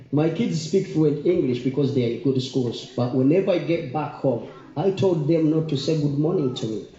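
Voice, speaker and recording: A man's voice: mid-range voice, warm tone. A middle-aged Ugandan man, a native Luganda speaker, giving an earnest, opinionated informal speech to a gathering. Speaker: community event speaker. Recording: muffled, echoey room recording.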